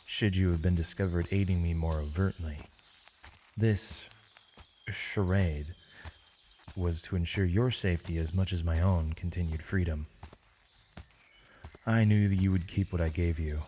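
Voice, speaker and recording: A man playing a wise, misunderstood mage in an ASMR roleplay, speaking softly in a solemn, measured, poetic and reassuring way. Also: deep voice, fairly even intonation, a little hiss or hum. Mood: gentle, reassuring. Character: misunderstood male mage, gentle, wise, mysterious, tenderly reassuring. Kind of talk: ASMR roleplay, scripted dramatic monologue.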